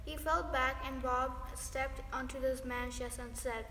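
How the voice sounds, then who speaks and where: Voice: sits high, steady tone, a little slow, bright, forceful tone. A young teenager reading a scripted story aloud as a student narrator. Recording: echoey hall, distant, noisy background.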